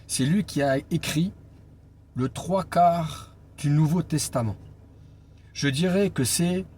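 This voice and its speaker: A male evangelist gives an informal Bible teaching in a fairly high voice. He speaks deliberately, leaning on key phrases and pausing between them. There is noticeable background noise in the recording. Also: a little mellow. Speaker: evangelist preacher. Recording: clear background noise and ambience.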